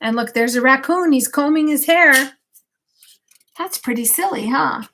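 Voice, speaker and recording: An older woman, a grandmother, reading a picture book aloud at bedtime, expressively, with gentle questions to a child. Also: sits high, measured pace, a little hiss or hum.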